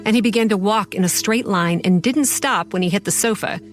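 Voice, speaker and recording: A woman's voice: medium pitch, fairly quick, soft background music, natural voice. A woman playing a haunted, sardonic young campground manager, telling her horror story in a confiding, wry first person. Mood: somber.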